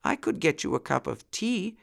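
Muskrat lady as done by a man: high-pitched, plaintive, fussy, ailing housekeeper from a children's animal tale. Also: sing-song, moderate pace, creaky, exaggerated voice. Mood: polite, apologetic.

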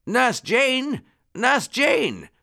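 A man voicing a cheery, helpful gentleman rabbit uncle in an eager, genial manner for a children's storybook. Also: medium pitch, big pitch swings, a little slow, vocal fry, exaggerated voice. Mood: excited.

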